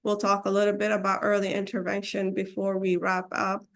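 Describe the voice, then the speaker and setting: A woman's voice: mid-range voice, monotone, brisk, creaky, fairly bright tone. Hesitant woman with a cold. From Seattle, United States. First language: Somali. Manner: hesitant, many ums, restarts mid-phrase. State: getting over a cold. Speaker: community presenter. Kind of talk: online webinar presentation. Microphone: video call.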